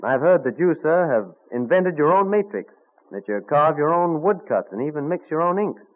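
Actor as higher-voiced eager colonial visitor, old radio. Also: male voice, lively intonation, moderate pace, lightly acted. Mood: eager admiration. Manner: polite, deferential, enthusiastic. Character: eager, admiring young tradesman visiting from the Carolinas. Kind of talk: old-time radio drama acting. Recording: tinny narrow-band vintage radio recording.

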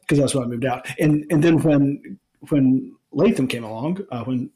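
A man in his forties from Alabama, the usual host, answering interview questions in a relaxed, rambling way with many restarts. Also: medium pitch, normal speed. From Alabama, United States. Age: 40s. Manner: rambling, many ums and restarts, laughs easily. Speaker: podcast host being interviewed. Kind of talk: casual interview chat.

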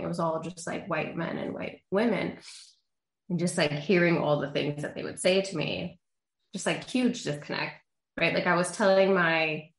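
Woman therapist, echoey room. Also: on the low side. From Honduras. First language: Spanish. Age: young adult. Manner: candid, lively storytelling with frequent fillers. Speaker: therapist guest. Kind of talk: casual interview chat. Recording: roomy echo.

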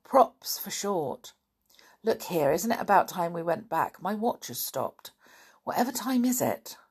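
A woman voicing a brisk, knowing boy who explains things matter-of-factly in an old-fashioned children's adventure story. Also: on the low side, very animated, husky, heavily acted. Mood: brisk, instructive.

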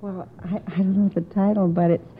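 A woman's voice: mid-range voice, level tone, fairly quick, slight background noise. A woman giving unrehearsed answers as a contestant on a radio quiz show. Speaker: contestant, mother of a quiz kid. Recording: thin phone-like old radio recording.

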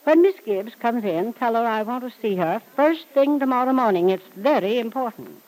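A woman's voice: on the high side, expressive, moderate pace, a little mellow, close to an everyday voice, poor audio. An actress playing a brusque, imperious wealthy old woman in a vintage radio serial, with formal, commanding diction. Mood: imperious, impatient. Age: older. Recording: vintage radio drama recording.

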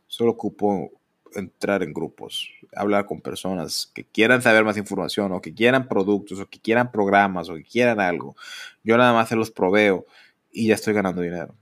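Low-voiced Mexican man musing candidly. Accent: Mexican Spanish. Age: 30s. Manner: candid, rambling, mocks others in exaggerated high voices. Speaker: podcast host. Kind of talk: solo podcast monologue.

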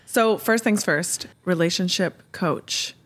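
A woman with a lowish voice hosting a casual podcast interview. She is enthusiastic and chatty and laughs often as she sets up her questions. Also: slow pace. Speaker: podcast host.

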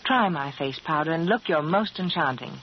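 A woman's voice: low-pitched, expressive, moderate pace. A woman delivering a scripted 1940s radio advertisement in a polished, persuasive, emphatic manner. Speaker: beauty advertiser. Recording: muffled, hissy 1940s radio broadcast.

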